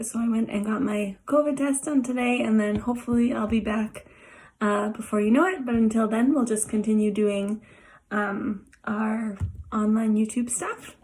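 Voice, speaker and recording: Raspy-voiced woman with a cough. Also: on the high side, a little hiss or hum. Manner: relaxed, many ums, gentle instructions. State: hoarse with a cough. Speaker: art therapist. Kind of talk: online art therapy lesson. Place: at home.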